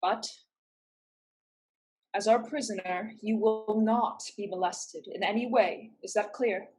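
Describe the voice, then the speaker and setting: A woman's voice: mid-range voice, steady tone, slow pace, a little mellow, breathy edge, rough recording. A woman playing a fierce, resolute young pirate in a staged play reading, firm and blunt. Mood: stern threat.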